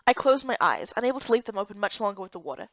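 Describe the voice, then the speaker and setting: A woman giving expressive first-person scripted narration of a fantasy story. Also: medium pitch, racing, dry recording, dull audio. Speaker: narrator and voice actor for a visual novel playthrough.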